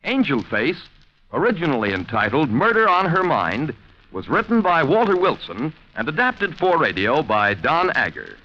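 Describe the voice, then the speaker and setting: Bright, lively male radio announcer. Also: a little high, moderate pace. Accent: American. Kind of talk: 1940s radio scripted sign-off. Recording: muffled 1940s radio recording with music. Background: music.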